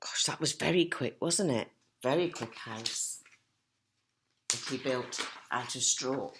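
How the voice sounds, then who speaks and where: A woman's voice: extremely low pitch, slow, noisy background. A woman reading a picture book to children, talking to them directly in an encouraging, teacherly way. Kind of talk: animated picture-book read-aloud with asides.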